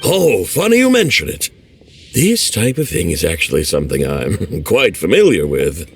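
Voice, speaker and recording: A man's voice: sits low, huge pitch swings, normal speed, creaky, faint music behind, exaggerated voice. An actor playing a boastful, theatrical male stage performer in a video game, with a grandiose, self-amused way of speaking. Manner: grandiose, hammy, laughs at himself.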